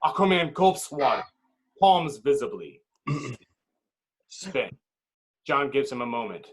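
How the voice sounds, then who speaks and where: A man's voice: medium pitch, big pitch swings, slow, breathy edge. A man reading stage directions as narrator at a table read, in dramatic, clipped phrases.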